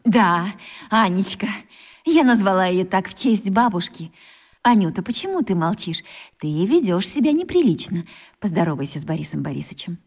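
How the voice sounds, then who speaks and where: A woman's voice: mid-range voice, big pitch swings, moderate pace, husky. An actress playing a chatty, coquettish mother with affected, fussy politeness in a radio play. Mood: fussy, prodding.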